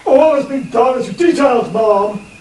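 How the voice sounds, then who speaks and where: A man's voice: very high voice, normal speed, a little mellow. A man playing a dim, eager, servile henchman in a comic radio play, hesitant and obliging. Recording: roomy echo, distant mic, faint noise.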